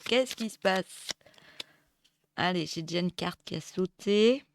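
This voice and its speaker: A woman with a cold and a hoarse voice, giving a casual tarot reading with many hesitations and restarts. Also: on the low side, unhurried, slight background noise. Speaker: tarot reader. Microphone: close mic. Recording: dry close mic.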